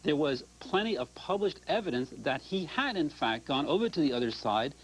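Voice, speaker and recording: An older man, an author and professor, speaking as the guest on a call-in TV discussion, animated and quick to cut in with agreement. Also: a little high, husky, low-quality recording.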